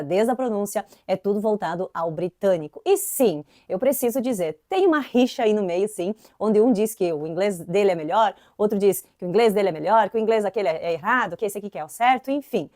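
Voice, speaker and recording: A woman's voice: mid-range voice, no room sound, studio quality. A young woman from southern Brazil with an inland Santa Catarina accent, teaching an English lesson in a casual, chatty way, often tagging lines with "né". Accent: Santa Catarina inland Brazilian Portuguese. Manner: chatty, frequent "né" tags, lively emphasis.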